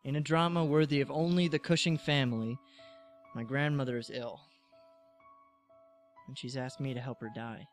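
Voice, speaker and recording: A young man acting as a brooding high-school artist in a serial drama. He sounds guarded and bitter, mid-pitched, with light music underneath. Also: fairly even intonation, normal speed, pauses often, lightly acted. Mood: troubled, resigned. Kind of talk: naturalistic web series drama acting.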